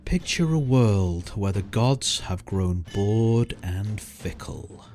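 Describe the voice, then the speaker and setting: Low-voiced man narrating a dramatic trailer. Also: animated, a little mellow, faint music behind. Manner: measured, theatrical.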